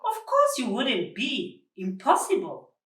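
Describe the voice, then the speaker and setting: A woman's voice: on the high side, huge pitch swings, takes it slowly, fairly bright tone, pitched above the performer's own voice, exaggerated voice. An indignant, protective storybook uncle, voiced by a woman. The words come out emphatic and protesting, in an echoey room slightly away from the microphone. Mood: reassuring, indignant. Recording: roomy, distant microphone.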